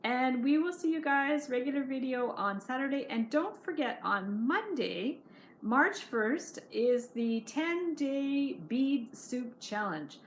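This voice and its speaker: A woman's voice: sits high, expressive, slow, a little hiss or hum. A woman hosting a casual craft livestream, chatting as she reads viewer comments, her voice starting to go. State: losing her voice.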